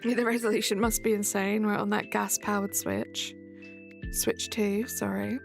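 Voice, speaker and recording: A woman's voice: mid-range voice, level tone, measured pace, creaky. A young woman live-streaming a game, chatting casually and answering viewers in chat as she plays. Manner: chatty, reads and answers chat while playing. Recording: faint background music.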